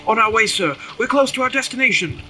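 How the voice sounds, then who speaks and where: A man's voice: high voice, expressive, fast talker, pushed and bright, slight background noise, exaggerated voice. An actor playing a deferential, earnest young oarsman in an audio drama, speaking formally and dutifully. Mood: uneasy. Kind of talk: period audio drama acting.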